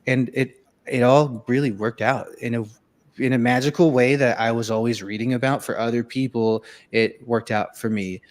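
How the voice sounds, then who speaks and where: A man's voice: medium pitch, fairly even intonation, moderate pace. A man born in Venezuela, a filmmaker and musician, telling his life story in a casual interview with many fillers and restarts.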